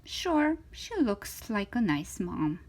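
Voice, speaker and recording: A woman reading a children's story aloud in an expressive, theatrical way, with emphatic stretched words. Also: mid-range voice, slow pace. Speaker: storytime reader of children's books.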